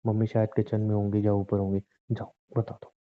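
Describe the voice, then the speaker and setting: A man's voice: fairly low pitch, monotone, fairly quick, close to an everyday voice. A man acting as a bitter, controlling young husband in a conversational audio drama, sarcastic and confrontational. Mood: warning. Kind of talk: acted Hindi relationship audio drama, conversational. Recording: thin, muffled phone-like lo-fi audio.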